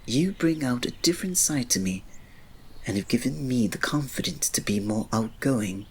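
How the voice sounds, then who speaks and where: A man playing a reserved, devoted royal servant in an ASMR romance roleplay, speaking softly, politely and formally with a breathy voice. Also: medium pitch, expressive, normal speed, slight background noise, lightly acted. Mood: shy, tender. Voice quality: soft, breathy. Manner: soft, polite, formal, restrained, often dropping to a breathy murmur.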